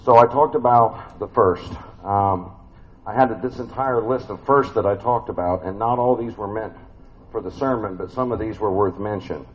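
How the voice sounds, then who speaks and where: A man's voice: fairly low pitch, normal speed, lo-fi sound. A man with a big voice preaching a family sermon in a church, conversational and folksy, with many fillers and restarts.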